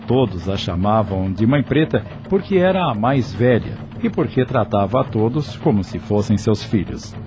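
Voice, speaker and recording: Lowish-voiced Brazilian male drama narrator.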